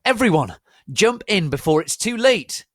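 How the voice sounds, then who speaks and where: A man's voice: sing-song, unhurried, no room sound, clean studio sound, heavily acted. Man putting on a shrieking furious old wizard headmaster. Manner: shrill, theatrical yelling.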